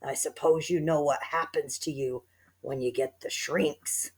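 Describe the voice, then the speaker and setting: A woman's voice: sits low, fairly even intonation, measured pace, a little mellow. A woman voicing a nasty old man who sneers and taunts his wife. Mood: mock-solemn taunting. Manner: sneering, teasing.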